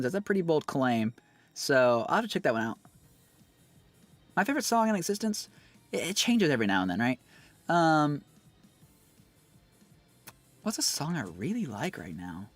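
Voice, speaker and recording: Male streamer, fairly high voice, bouncy intonation, dry close mic, faint music underneath. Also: dry recording. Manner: animated and rambling, full of fillers and quick laughs. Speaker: streamer and singer. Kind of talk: casual livestream chat with viewers.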